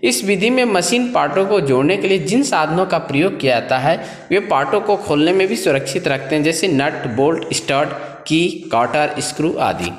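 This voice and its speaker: A man's voice: a little high, animated, moderate pace. A man teaching a welding trade course, reading from a textbook page and explaining each point step by step. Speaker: trade instructor. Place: voice-over over scanned book pages. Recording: echoey room with long reverb.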